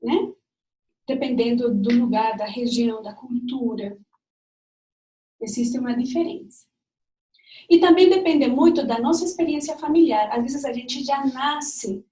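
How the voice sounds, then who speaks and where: A woman's voice: sits high, dark tone. Colombian woman lecturing in an echoey room. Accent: Colombian Spanish. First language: Spanish. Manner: reflective, personal, with pauses and restarts. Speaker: speaker giving a talk. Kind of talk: lecture-style talk. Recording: distant mic in an echoey room.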